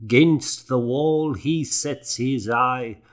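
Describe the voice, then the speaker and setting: Man voicing a gentle, slow-spoken old scribe. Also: mid-range voice, voice pushed up. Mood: merry, reciting. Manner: slow, lilting, savoring words. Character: gentle, blind old scribe monk, fond and contemplative. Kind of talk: audiobook character acting.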